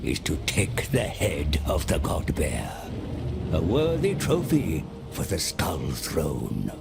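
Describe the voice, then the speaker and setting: A creaky, scheming seer. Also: male voice, rumbling low, exaggerated voice. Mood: ominous, urging slaughter. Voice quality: creaky, gravelly. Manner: theatrical, deliberate, sly and flattering. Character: scheming, servile male seer guiding demonic warlords. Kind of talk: dramatic dark fantasy game narration. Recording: music under the voice. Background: dramatic music.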